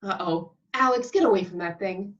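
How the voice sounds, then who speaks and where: A woman's voice: mid-range voice, normal speed, muffled recording, close to an everyday voice. A woman playing an exasperated, sardonic television actress in an amateur comedy table read, complaining and sarcastic.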